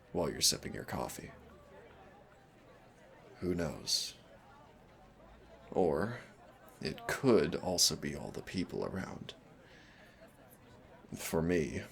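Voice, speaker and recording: Casting: male actor for awkward, gentle cafe flirt in an audio roleplay; very low voice with gravelly fry, nervous, hesitant delivery. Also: heavily acted. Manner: hesitant, nervous, apologetic, chatty. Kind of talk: soft-spoken audio roleplay.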